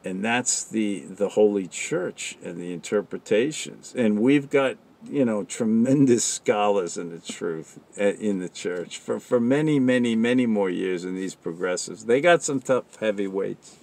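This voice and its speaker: A man's voice: on the low side, animated, unhurried, gravelly, hardly any pauses, darkish tone. A man giving an informal religious monologue in an earnest, rambling, conversational way. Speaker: religious commentator.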